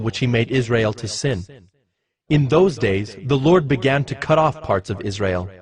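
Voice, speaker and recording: A man reads scripture as scripted narration in a measured, solemn storytelling manner. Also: medium pitch. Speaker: narrator of a dramatized audio Bible. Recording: music bed behind the voice. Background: background music.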